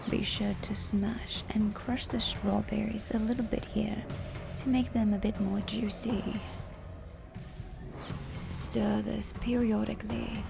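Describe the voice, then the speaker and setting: Woman as macabre vampire countess hosting ASMR cookery: genteel, very unhurried whisper, music underneath. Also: mid-range voice. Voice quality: breathy whisper with creaky fry. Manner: slow, deliberate, genteel. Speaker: host. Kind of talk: ASMR recipe narration.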